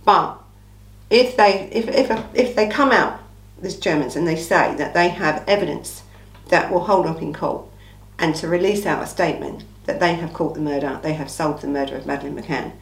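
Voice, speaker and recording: A woman with an English accent and a slightly croaky, under-the-weather voice, hosting a casual true-crime Q&A in an informal, emphatic way with rhetorical asides. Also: deep voice, moderate pace. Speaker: true-crime channel host. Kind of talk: casual chatty Q&A monologue. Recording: echoey room.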